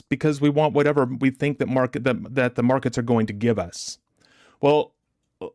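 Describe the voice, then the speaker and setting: A man hosting a livestream as a motivational trading coach, deliberate and emphatic, his chest a little congested from a recent illness. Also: mid-range voice, a little mellow, dry recording, studio quality. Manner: deliberate, emphatic, repetitive rhetorical questions. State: chesty, getting over bronchitis, clearing throat.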